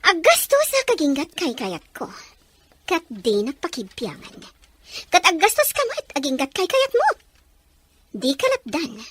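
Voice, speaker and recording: A woman's voice: sits high, huge pitch swings, a little slow, pauses often, a bit bright, exaggerated voice. A woman acting a headstrong mother with failing sight in an Ilocano radio drama, in a dramatic manner. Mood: defiant, haughty.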